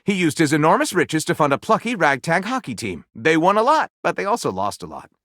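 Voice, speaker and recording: A theatrical male storyteller from a video game, with a very lively pitch that swings widely. He narrates like a grand storybook, mock-solemn one moment and gushing the next, in a close, dry studio sound. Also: fairly quick, no echo. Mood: mock-solemn narrating. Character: theatrical, excitable male storyteller, a datable book-loving narrator character. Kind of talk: dramatic tongue-in-cheek storybook narration.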